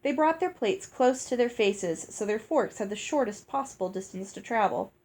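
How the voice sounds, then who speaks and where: A woman reading a children's novel aloud as scripted, expressive storybook narration. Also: on the high side, normal speed. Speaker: audiobook reader.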